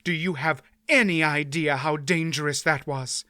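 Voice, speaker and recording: A woman's voice: deep voice, normal speed, bright, forceful tone, no echo, clean studio sound. A man voicing a stern, commanding woman who guards her companions, speaking sharply and imperiously. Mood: furious. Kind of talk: audiobook character voice.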